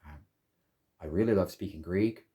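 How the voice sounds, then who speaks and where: A man whose first language is English, hosting his own channel and speaking calmly and deliberately in measured phrases. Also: low-pitched. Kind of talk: slow reflective monologue.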